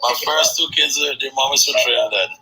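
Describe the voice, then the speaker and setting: A man hosting a sales session, giving a brash motivational pitch and teasing his guests, with casual cursing. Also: brisk, over music, poor audio.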